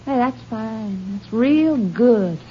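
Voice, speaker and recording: An actress playing a folksy rural cafe worker in a radio drama, with a rural Southern American accent and homespun country talk. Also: female voice, on the high side, expressive, takes it slowly. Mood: eager, helpful. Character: folksy rural cafe woman, eager witness. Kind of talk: 1950s radio drama acting. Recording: muffled, slightly noisy old radio recording.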